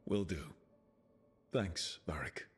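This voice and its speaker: An actor voicing a steady young male hero in a video game, gentle and casual with a dryly playful streak. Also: deep voice, slow, breathy edge, exaggerated voice. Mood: concerned. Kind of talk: video game dialogue acting.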